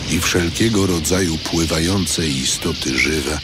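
A man narrating an audio Bible in a solemn, measured, scripted reading. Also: a bass voice. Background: loud music.

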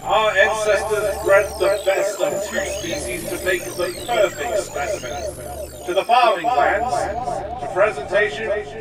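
Guy acting proud pompous scientist giving a big speech, really slow, kinda far from mic, audio drama. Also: sits high. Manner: pompous, declamatory. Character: grandiose scientist doctor addressing an audience, visionary and proud. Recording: distant voice under loud music.

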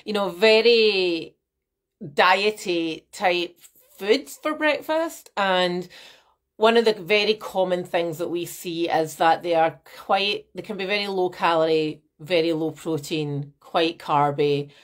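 A woman's voice: fairly low pitch, slow pace. A woman, a nutrition coach chatting through a live masterclass from a small room at home on her laptop mic. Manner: chatty, animated, gestures a lot, greets viewers by name. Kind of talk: live-stream masterclass to viewers. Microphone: laptop webcam mic.

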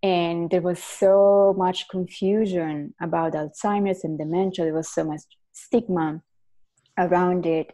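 A woman in her forties from Italy, with an Italian accent, speaking earnestly as a guest on a video call from her living room through earbuds. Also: on the low side. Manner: earnest, animated hand gestures. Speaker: guest neuroscientist. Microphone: earbud headset on video call.

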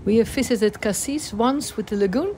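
A woman's voice: fairly high pitch, moderate pace, dark tone. A woman narrating a sailing trip in voice-over. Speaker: sailing vlogger. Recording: music under voice-over.

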